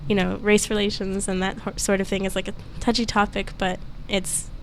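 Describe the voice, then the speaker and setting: A woman's voice: mid-range voice, little pitch movement, a little fast, rough recording. A young woman on a student panel, talking openly and laughing often as she speaks. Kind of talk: casual panel discussion. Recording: faint background noise.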